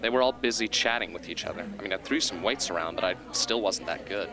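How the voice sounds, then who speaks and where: A man's voice: deep voice, fast, crowd noise, close to an everyday voice. A man playing a mild, good-natured teenage boy in a radio drama, easygoing and self-deprecating. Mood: resigned. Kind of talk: hobby radio drama acting. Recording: clearly noisy background ambience.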